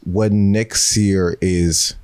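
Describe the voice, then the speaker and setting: Deep-voiced man, dry close sound. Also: fairly even intonation, very slow, a little mellow, clear, resonant tone, dry recording. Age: 30s. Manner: easygoing, musing, trails off and restarts. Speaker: podcast co-host. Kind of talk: casual chat.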